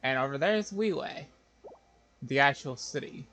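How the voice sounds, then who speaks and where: A man's voice: mid-range voice, lively intonation, moderate pace, sharp tone, slight background noise. A man doing casual live game commentary, thinking aloud in a hesitant, wry way. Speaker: game streamer.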